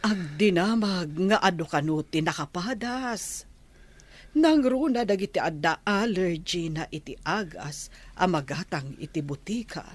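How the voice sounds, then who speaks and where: A woman's voice: medium pitch, no room sound. A woman playing a concerned, insistent middle-aged village mother in an Ilocano radio drama.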